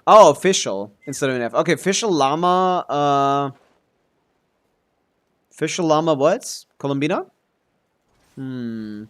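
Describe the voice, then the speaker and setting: A man's voice: fairly high pitch, animated, unhurried, long pauses, clear, resonant tone. A man giving casual, offhand live stream commentary on a game, thinking aloud as he answers chat and swearing casually.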